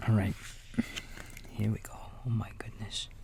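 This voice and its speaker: A man plays a babysitter reading a bedtime story in ASMR style. He whispers slowly with a crackly fry, soothing and informal, drifting into little asides for a child. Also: lowish voice, level tone. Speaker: ASMR roleplayer playing a babysitter.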